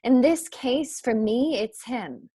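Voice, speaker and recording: A woman's voice: a little high, lively intonation, takes it slowly, dark tone, slightly rough, heavily acted. A woman playing a defensive, sincere young college student in a staged play reading.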